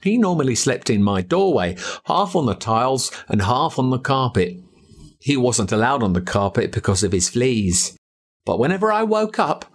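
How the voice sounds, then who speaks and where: A man with an Australian accent narrating a spooky children's story in an expressive, suspenseful, first-person storytelling manner. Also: mid-range voice, husky. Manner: expressive, suspenseful storytelling as a boy recalling events. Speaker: audiobook narrator.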